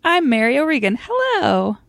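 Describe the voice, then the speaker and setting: A woman's voice: high voice, huge pitch swings, normal speed, vocal fry, no room sound, studio quality. A woman co-hosting a casual podcast. She talks playfully, pokes fun at herself, and peppers her speech with "like". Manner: playful, self-deprecating, chatty with many "like"s. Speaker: podcast co-host.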